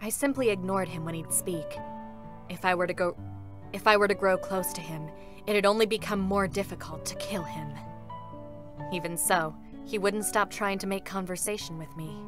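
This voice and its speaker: A woman's voice: medium pitch, moderate pace, music in the background, close to an everyday voice. A woman voicing a stoic female combat android in a dramatic reading, formal, serious and restrained, with sorrow held back. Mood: solemn.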